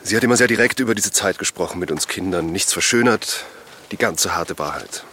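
A middle-aged man acting a calm father of two in an audio drama, giving short, dry answers. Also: low-pitched, brisk, slight background noise. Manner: calm, dry, brief replies. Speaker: local villager.

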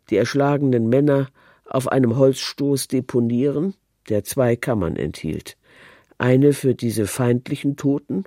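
A man with a soft, dark-toned voice reads a literary tale slowly. He is grave and deliberate, in a dry recording. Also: mid-range voice, no echo. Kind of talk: literary scripted narration.

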